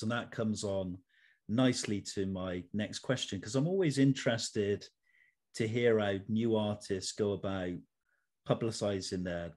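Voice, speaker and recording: Lowish-voiced male interviewer. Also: a little mellow. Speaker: interviewer, host. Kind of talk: prepared interview questions.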